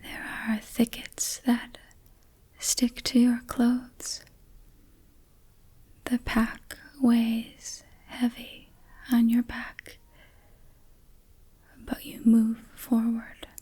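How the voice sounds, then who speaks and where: Very slow, breathy whispering ASMR woman. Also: fairly high pitch, monotone. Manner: soothing, hushed, slow with long pauses. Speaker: ASMR host, meditation guide. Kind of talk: ASMR guided meditation.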